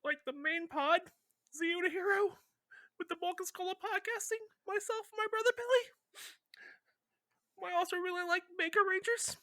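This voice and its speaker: A very high, squeaky character played by a man whines through a podcast promo. The voice is strained and reluctant, with a sharp, piercing edge. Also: moderate pace, no room sound. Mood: whiny, reluctant.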